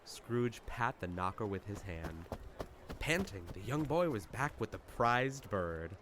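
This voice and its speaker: A man's voice: lowish voice, very animated, measured pace, noisy background. A man giving scripted narration for a radio play.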